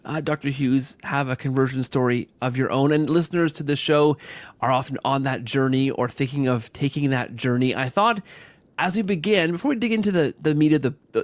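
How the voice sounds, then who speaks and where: A man's voice: a little high, no echo. A man hosting a podcast in an upbeat, conversational style, effusive and rambling as he circles back on his phrases. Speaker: podcast host.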